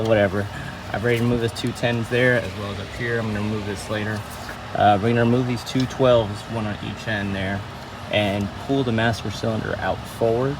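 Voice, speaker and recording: A man with a fairly low voice walks through a car repair in a casual, hands-on style. He is informal and a little hesitant, often correcting himself. The mic sits away from him and picks up clear background noise. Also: far from the mic. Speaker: auto mechanic presenting a how-to. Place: at a car's engine bay and inside the cabin.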